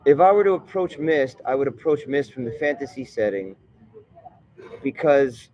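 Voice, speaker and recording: A man talking in a casual vlog monologue, rambling and digressive, often halting mid-thought. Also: mid-range voice, expressive, lo-fi sound. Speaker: vlogger.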